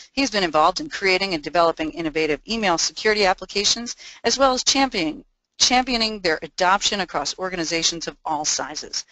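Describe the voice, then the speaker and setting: A woman's voice: lowish voice, pushed and bright, no room sound, low-quality recording. A woman hosting a webinar, reading a formal prepared introduction of the speaker and housekeeping notes. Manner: reads a prepared script, formal. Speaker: webinar host.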